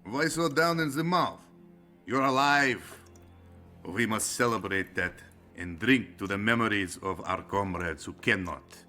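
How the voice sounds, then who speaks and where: An actor playing a hearty, hard-drinking Soviet sergeant who speaks in a boisterous, jovial way. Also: male voice, fairly low pitch, a little slow, bright, forceful tone, soft background music. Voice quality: boisterous, jovial, then grim.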